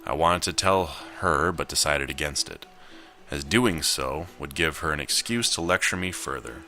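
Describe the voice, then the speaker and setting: A man with an extremely low voice narrates a visual novel as he plays. His tone is bright and forceful, and faint music sits behind him. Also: moderate pace. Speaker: gamer narrating his gameplay. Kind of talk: visual novel read aloud with casual commentary.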